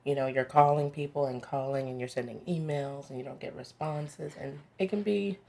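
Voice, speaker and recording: A woman's voice: a bass voice, fairly even intonation, moderate pace, gravelly, a little mellow. A woman in her thirties, a musician guest on a podcast, talking candidly and casually, laughing easily. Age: 30s. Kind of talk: casual interview chat.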